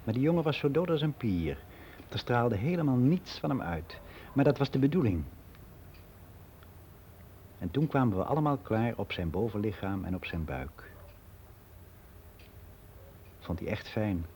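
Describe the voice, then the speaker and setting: Candid ageing man, very mellow voice, matter-of-fact musing, radio play monologue, noisy old recording. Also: mid-range voice, lively intonation, unhurried, long pauses. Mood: frank, wry. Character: candid, reflective ageing man, worldly and unbelieving. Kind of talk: radio drama monologue, conversational confiding.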